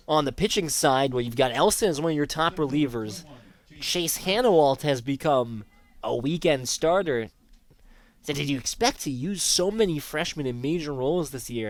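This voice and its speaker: A man's voice: mid-range voice, no room sound. A man calling live baseball play-by-play as the announcer of a sports broadcast.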